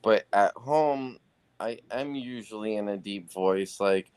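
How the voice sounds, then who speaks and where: A man chatting casually as a guest on a live talk show, laid-back and halting, with lots of fillers. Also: on the low side, a little mellow, clean tone. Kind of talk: casual chat.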